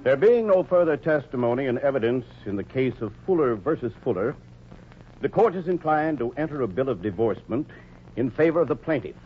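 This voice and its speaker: Actor as a pompous judge, very animated delivery. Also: male voice, mid-range voice, fairly quick, faint music behind, lightly acted. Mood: solemn. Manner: formal, pompous. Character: formal, exasperated male judge. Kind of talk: 1940s radio comedy acting. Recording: muffled, noisy 1940s radio recording.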